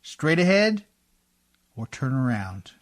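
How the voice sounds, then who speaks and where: An actor playing a rough-spoken, warm riverboat man in love, in old-time radio drama style with colloquial, hearty talk. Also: medium pitch, sing-song, slow. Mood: worried, resigned.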